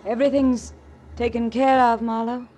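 An actress playing a resigned, composed murder suspect in a 1950s radio noir, deliberate and bittersweet. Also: female voice, a little high, level tone, lightly acted. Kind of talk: 1950s radio drama acting. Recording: very noisy old radio, siren behind.

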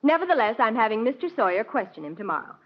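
A woman acting in 1940s radio style as a brisk, skeptical store executive and mother who gets flustered easily. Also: on the high side, big pitch swings. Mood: worried. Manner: businesslike, skeptical, hesitant when flustered. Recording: muffled vintage 1940s radio recording.

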